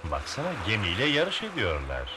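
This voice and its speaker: Casting: cartoon sea captain, low, very expressive intonation, calm authority, noisy track. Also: male voice. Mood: friendly, calm. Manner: authoritative, measured. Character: calm, kindly adult male ship's captain. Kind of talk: dubbed cartoon acting.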